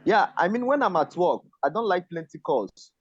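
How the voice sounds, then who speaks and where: A man's voice: sits high, big pitch swings, moderate pace, husky, no echo. A man, a guest in a casual online discussion, talking hesitantly and searching for words. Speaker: panel guest. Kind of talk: casual discussion on a video call. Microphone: video call. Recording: dull lo-fi video call audio.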